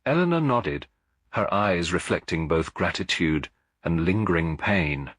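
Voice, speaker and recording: A man reading a scripted story as an audiobook narrator, in a measured, literary manner. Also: sits low, lively intonation, fairly bright tone, no echo, clean studio sound.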